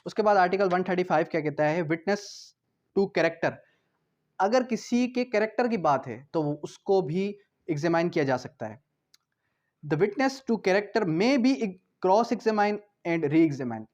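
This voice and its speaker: A man's voice: high voice, animated, a little slow, a little mellow. A man giving an explanatory law lecture in a didactic way, repeating points, asking rhetorical questions and switching between two languages as he reads out and paraphrases. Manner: switches between Hindi and English. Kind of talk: explanatory lecture, reading out legal articles and paraphrasing them. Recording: dull, lo-fi sound.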